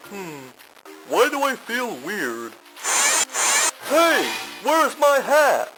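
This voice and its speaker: A man voicing a naive, curious and excitable animatronic bear in a cartoon. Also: very high voice, drawn-out words, loud music behind the voice, full character voice, rough recording. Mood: puzzled.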